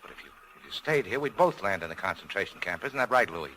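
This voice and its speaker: A man's voice: deep voice, sing-song, quick pace, sharp tone, slight background noise, heavily acted, rough recording. A male actor playing a cynical but self-sacrificing American nightclub owner in a 1940s radio drama, with a clipped, tough, terse delivery. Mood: resolute, restrained.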